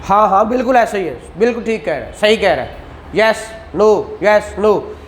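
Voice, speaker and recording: A man's voice: high-pitched, animated, moderate pace, a little hiss or hum, rough recording. A man, teaching a law class and explaining points with rhetorical questions and acted examples. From Pakistan. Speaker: law lecturer. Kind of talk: classroom lecture.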